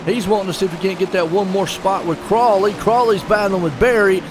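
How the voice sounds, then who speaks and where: A man's voice: high voice, fairly even intonation, fairly quick. A man hosting and commentating a sim race stream, chatty and folksy, joking with his co-host. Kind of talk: casual sim racing commentary. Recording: noisy broadcast mix with engine noise.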